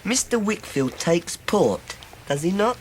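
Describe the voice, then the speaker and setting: An actor with an English accent playing an oily, falsely humble young clerk in a radio drama, ingratiating and sly. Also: male voice, sits high, very animated, a little slow. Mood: sly probing. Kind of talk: 1990s British radio drama acting. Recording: noisy radio drama recording.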